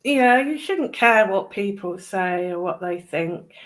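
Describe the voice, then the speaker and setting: A woman's voice: mid-range voice, expressive, slow. A woman chatting casually as a guest on a live stream. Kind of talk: casual chat.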